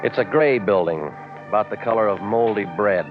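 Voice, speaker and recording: An actor in 1940s radio drama playing a cynical, wisecracking private eye, terse and deadpan in narration and dialogue. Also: male voice, on the low side, normal speed, natural voice. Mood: deadpan, jaded. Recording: muffled vintage 1940s radio with music.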